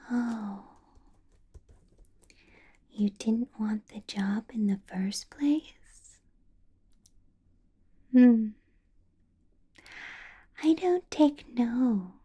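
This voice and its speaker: Woman as female vampire boss, ASMR roleplay, very breathy whisper, extremely slow delivery, possessive, coolly menacing, condescending, musing hums. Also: medium pitch, dark tone, exaggerated voice. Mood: stern, probing. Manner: slow, deliberate, drawn-out pauses, musing hums.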